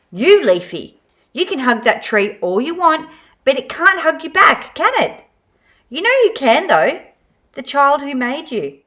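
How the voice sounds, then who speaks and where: A woman's voice: high voice, a bit bright, voice pushed up, full character voice. A woman voicing an earnest, matter-of-fact spork toy in a slightly raised version of her own voice. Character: earnest young spork toy, puzzled then rallying.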